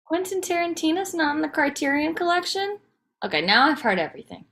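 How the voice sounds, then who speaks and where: A woman's voice: high-pitched, big pitch swings, brisk, a bit bright, exaggerated voice. A woman playing a wry, teasing wife and mother in a table read of a TV pilot script.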